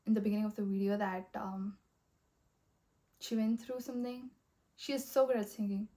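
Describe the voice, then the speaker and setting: A young woman talking in a rambling, earnest way, full of fillers, with a soft voice that has a slight husky edge. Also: fairly high pitch. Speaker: vlogger. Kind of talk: casual motivational monologue.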